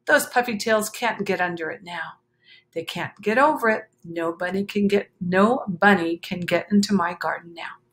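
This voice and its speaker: A woman voicing an excitable, gloating male gardener in a storybook, with emphatic, boastful exclamations. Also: fairly low pitch, moderate pace, voice pushed down, lightly acted. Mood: smug. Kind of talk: storybook character read aloud.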